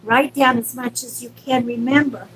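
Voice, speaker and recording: A woman's voice: fairly high pitch, steady tone, normal speed, background noise. A woman hosting a live stream as a psychic medium, speaking casually and affectionately to viewers with reassurance and endearments.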